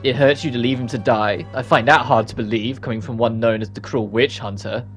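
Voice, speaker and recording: A man's voice: mid-range voice, fairly even intonation, fairly quick, faint music behind, natural voice. A man voicing a hot-tempered, suspicious witch girl in a game cutscene, brash and confrontational. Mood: scornful. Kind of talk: reading game cutscene dialogue.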